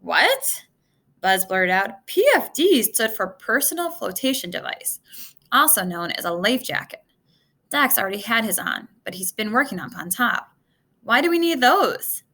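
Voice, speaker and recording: Bright, animated woman reading a story aloud. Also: medium pitch, moderate pace. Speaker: storybook reader. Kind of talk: read-aloud narration of a children's adventure novel.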